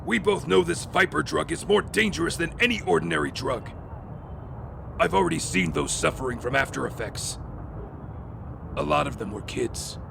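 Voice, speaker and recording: A man playing a grim, self-assured hooded vigilante in an audio drama, terse and stern with a gravelly voice. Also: fairly high pitch, normal speed, background noise. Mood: urgent.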